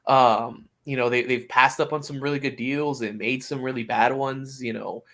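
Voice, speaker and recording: A man's voice: mid-range voice, fairly even intonation, sharp tone. Man talking soccer casually, searching for words. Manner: opinionated, halting while searching for words. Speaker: podcast co-host.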